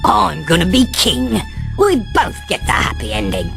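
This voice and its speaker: A man playing a sly, self-serving creature prince in a video game, a chummy, smooth-talking schemer. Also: high-pitched, wildly swooping pitch, moderate pace, exaggerated voice. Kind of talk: cartoon video game acting. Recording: music behind.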